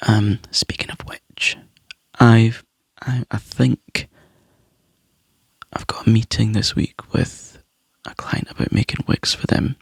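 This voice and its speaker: A Scottish man chatting to viewers in a soft, rambling ASMR whisper, close to the mic. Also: lowish voice, little pitch movement, takes it slowly, gravelly, slightly rough, no room sound. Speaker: ASMR YouTuber.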